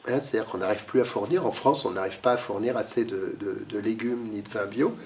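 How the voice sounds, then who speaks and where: A man's voice: lowish voice, fairly quick, far from the mic, heavy background noise. A man with a cold giving a talk to a library audience, lecturing with lots of fillers and restarts, coughing now and then. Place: library meeting room.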